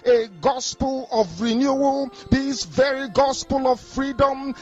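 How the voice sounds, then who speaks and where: A man leading a chanted prayer in a sing-song intoning voice. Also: extremely high pitch. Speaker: prayer leader. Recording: dull lo-fi audio with music.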